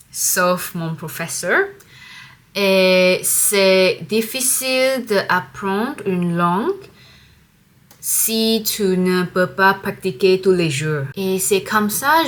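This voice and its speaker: Slow-spoken woman vlogger. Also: mid-range voice, a bit bright. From Singapore. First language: not French. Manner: slow, careful learner's French with pauses. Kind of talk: casual vlog to camera.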